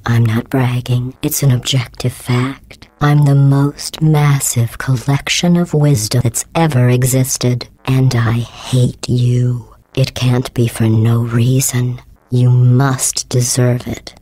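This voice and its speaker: A woman playing a coldly menacing, sarcastic female artificial intelligence in a video game, deadpan and falsely polite, with robotic processing on the voice. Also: extremely low pitch, no room sound, exaggerated voice. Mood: cold, hateful. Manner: deadpan, passive-aggressive, falsely polite, measured enunciation. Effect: robotic synthesized voice processing. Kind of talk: scripted video game voice acting.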